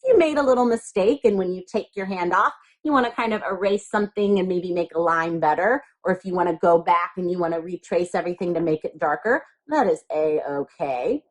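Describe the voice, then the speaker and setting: A woman's voice: on the low side, brisk, hardly any pauses. A woman teacher giving a step-by-step craft lesson to young children, explaining things simply and patiently.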